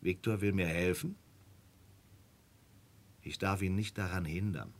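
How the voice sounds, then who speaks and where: An actor playing an estranged older brother who pleads earnestly and hesitantly, with a husky voice, in a German dub of a stage drama. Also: male voice, deep voice, unhurried. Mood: pleading.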